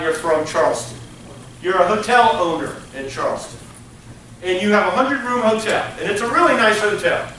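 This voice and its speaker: A man's voice: high-pitched, sharp tone, a little hiss or hum. A man teaching a college hospitality class, animatedly acting out business deals with his students. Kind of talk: interactive classroom lecture. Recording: echoey classroom from far off.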